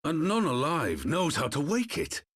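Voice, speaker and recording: An actor playing a sceptical, grave scholar in a video game, formal and dismissive, with a husky voice. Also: male voice, a little high, huge pitch swings, normal speed. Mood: incredulous. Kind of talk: video game cutscene acting.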